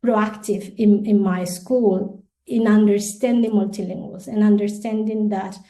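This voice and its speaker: A woman's voice: mid-range voice, steady tone, a little slow, warm tone, poor audio. A woman from Colombia whose first language is Spanish, speaking as a guest in a podcast interview, thoughtful and full of restarts. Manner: thoughtful, many restarts and repeated words, light laughs.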